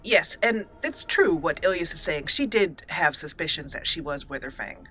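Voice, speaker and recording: A woman's voice: sits low, lively intonation, moderate pace, pushed and bright, a little hiss or hum. A woman hosting a let's play, commenting casually and thinking aloud as she plays. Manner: chatty, thinking aloud with fillers and laughs.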